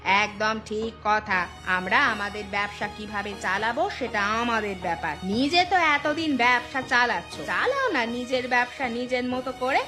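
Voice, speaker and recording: A woman's voice: fairly high pitch, expressive, moderate pace, music in the background, natural voice. A woman voicing a supportive, feisty village wife in a cartoon, speaking in an emphatic, pointed way. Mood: defiant. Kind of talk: animated moral story dialogue.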